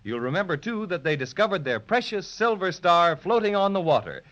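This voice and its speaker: A man's voice: high voice, a little fast. A man narrating a children's adventure serial as a theatrical 1930s radio storyteller. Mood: storytelling. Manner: animated, theatrical. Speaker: narrator, announcer. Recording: muffled 1930s radio recording.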